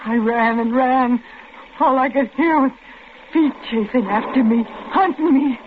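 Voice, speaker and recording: Frantic, high-voiced stranded woman. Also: unhurried. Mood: shaken relief. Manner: breathless, urgent, pleading. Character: frantic stranded woman pleading for shelter in a storm. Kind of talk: vintage radio drama acting. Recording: muffled old radio, loud storm noise.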